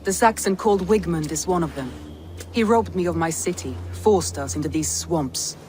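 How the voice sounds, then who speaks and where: An actress voicing a proud, resolute Norse warlord in a video game cutscene, speaking in a measured, guarded way. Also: female voice, lowish voice, animated, a little mellow, a little hiss or hum, lightly acted, rough recording. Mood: determined. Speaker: Norse lord of a city.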